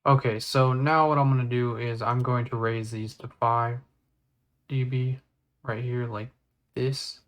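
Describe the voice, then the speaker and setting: Casual mid-pitched man walking through software. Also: steady tone, measured pace. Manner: thinking aloud step by step. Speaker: tutorial host. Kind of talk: casual screen-recorded software tutorial.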